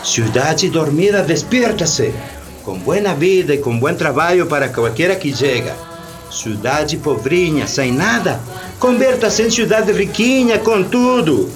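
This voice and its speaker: Radio drama: exuberant carnival announcer, Brazilian accent, unhurried delivery over clear background music. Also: female voice, sits low, lively intonation. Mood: grandiose. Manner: theatrical, exclamatory, repeats phrases. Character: festive Brazilian carnival announcer mixing Portuguese and Spanish.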